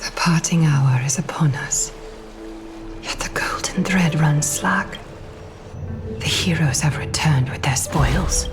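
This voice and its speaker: A woman playing a regal, solemn, ancient leader of heroes in a fantasy game, formal and measured. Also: sits low, whispered. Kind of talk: scripted fantasy game acting. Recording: music behind the voice.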